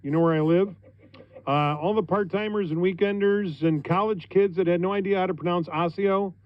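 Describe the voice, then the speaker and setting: A middle-aged man with an American accent, a radio host talking on air in an informal, conversational, halting way. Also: high-pitched, steady tone, lo-fi sound. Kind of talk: informal on-air thank-you speech. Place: radio studio.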